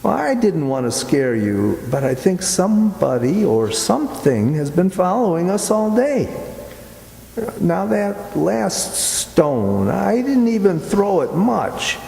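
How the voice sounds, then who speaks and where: Male actor, musing uneasy man, radio play, halting, slow, mellow, reverberant hall. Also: sits high, sing-song, gravelly, heavily acted. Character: uneasy, imaginative man sightseeing at dusk with his sweetheart. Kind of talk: amateur radio play acting. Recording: echoey hall with long reverb.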